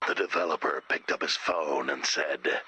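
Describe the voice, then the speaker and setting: A man voicing a brooding, easily hurt slasher killer in a video game, with a slow, menacing, rasping growl. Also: extremely low pitch, bright, forceful tone, full character voice. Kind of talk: video game character acting.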